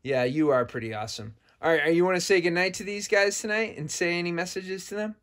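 A man's voice: high voice, quick pace, fairly bright tone. A man hosting children's story time, reading a picture book expressively with casual asides.

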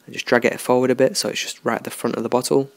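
A man talking through a software tutorial in a relaxed, unscripted way, thinking aloud as he works. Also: medium pitch, rapid-fire, vocal fry, dry recording. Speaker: tutorial host. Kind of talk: screen-recorded software tutorial.

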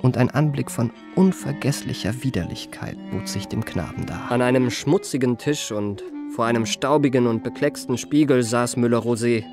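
An actor playing a refined, self-enamoured memoirist, narrating a radio play in an ornate, savouring manner. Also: male voice, medium pitch, moderate pace, hardly any pauses, over music, close to an everyday voice. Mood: wry.

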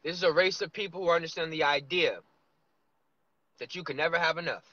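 A man in his thirties vlogging from inside a car, musing loosely with drawn-out asides. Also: fairly high pitch, bright, forceful tone. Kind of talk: casual rambling monologue. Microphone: phone camera on dashboard. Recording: lo-fi phone audio.

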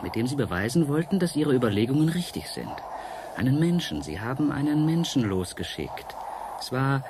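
An actor in a radio play voicing a seductive, mysterious painter from an ancient world, coaxing and dramatic with halting pauses. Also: male voice, mid-range voice, background noise.

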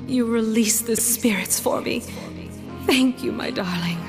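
Female Death for a game: slightly high, slow, seductive and ominous, music behind. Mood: tender, solemn. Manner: slow, dramatic, tender yet ominous. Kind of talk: video game character acting.

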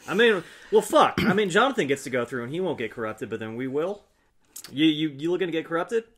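A man playing a tabletop game with casual, halting, joking role-play and table talk. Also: a little high, sing-song, a bit bright. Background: faint music.

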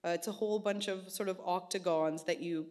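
A woman in her thirties chatting on stage into a stand mic in a small indoor gallery space. She tells her stories with animation and puts on quick voices for the people she quotes. Her voice sits a little low. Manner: animated storytelling, gestures a lot, acts out quoted voices. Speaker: artist guest. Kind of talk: casual onstage conversation.

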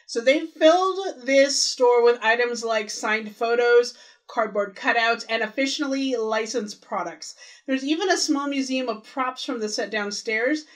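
A woman's voice: on the high side, unhurried. A woman co-hosting a podcast, chatting casually as she walks through details of a TV episode. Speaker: podcast co-host. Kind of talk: casual recap chat about a TV episode.

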